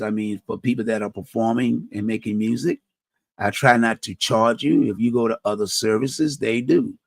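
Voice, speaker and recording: A middle-aged man with a deep voice, hosting a community radio talk show and speaking earnestly about faith and helping others, often restarting phrases. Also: gravelly, fairly bright tone, no echo. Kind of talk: reflective personal talk.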